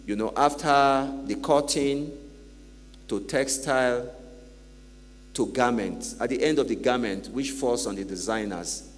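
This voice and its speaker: A man speaking on a conference panel, emphatic, repeating himself and filling pauses with "you know". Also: mid-range voice. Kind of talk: panel discussion remarks. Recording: reverberant conference hall with faint hum.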